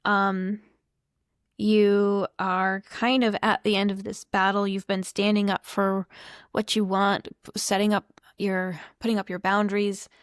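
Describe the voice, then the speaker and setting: A woman reading tarot cards to camera in a relaxed, unhurried way, her voice slightly hoarse. She is chatty and reflective and thinks aloud as she goes. The sound is dry and close. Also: mid-range voice, dry recording. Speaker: tarot reader.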